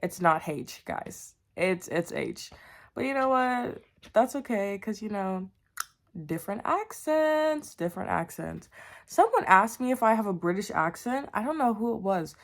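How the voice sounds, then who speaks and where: A woman's voice: medium pitch, sing-song, measured pace, creaky. A young adult woman chatting casually to camera about her writing, bubbly and rambling. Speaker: writer vlogger.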